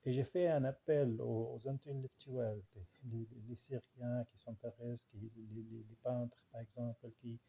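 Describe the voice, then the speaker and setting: Male, Arabic accent and mother tongue. Very dark, relaxed timbre with fry; halting, repetitive, informal delivery. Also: mid-range voice, highs cut off, a little hiss or hum. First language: Arabic dialect. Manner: halting, searching for words, much repetition. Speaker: language researcher and writer. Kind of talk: informal spoken reflection.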